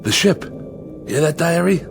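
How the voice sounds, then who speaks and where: An actor playing a lonely convict exiled on a desert asteroid in an audio drama. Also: male voice, a little high, expressive, measured pace, gravelly. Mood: excited, eager. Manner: brooding to himself, eager and hospitable with visitors. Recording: music bed under the voice.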